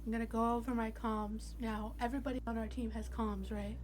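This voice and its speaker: A woman's voice: fairly high pitch, level tone, fairly quick, slight background noise. A woman playing a tabletop game, talking in an informal, chatty way. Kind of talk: casual game chat. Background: faint music.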